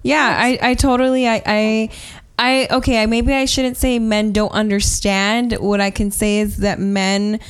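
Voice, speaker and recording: A woman's voice: a little high, level tone, unhurried, clear, resonant tone. A young woman hosting a casual podcast chat, talking emphatically with frequent restarts. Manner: emphatic, rising to exasperated quoting. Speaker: podcast host.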